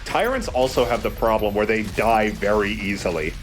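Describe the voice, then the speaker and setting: A man's voice: lowish voice, expressive, normal speed, a little hiss or hum. A man giving wry, rambling, sweary commentary as he plays a video game. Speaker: let's play host and player. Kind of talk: casual gameplay commentary.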